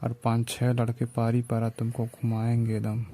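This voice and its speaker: Menacing, insistent male suitor, played by a man for a phone drama; eastern Hindi-belt accent, flat delivery, very mellow dark tone. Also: mid-range voice, moderate pace, lightly acted. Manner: insistent, colloquial, domineering. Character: pushy, menacing male suitor making threats.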